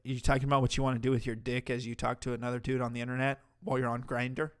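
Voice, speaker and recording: A man's voice: mid-range voice, little pitch movement, very fast, smooth. A man hosting a livestream, reacting to a clip with mocking, profane commentary. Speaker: commentary streamer host.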